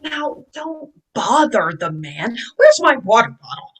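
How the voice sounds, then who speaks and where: A woman's voice: on the high side, sing-song, slow, fairly bright tone, breathy edge, exaggerated voice. A woman playing a fussy, pedantic, self-important professor in a fan table read. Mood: dismissive, fussy. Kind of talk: fan table read over video call.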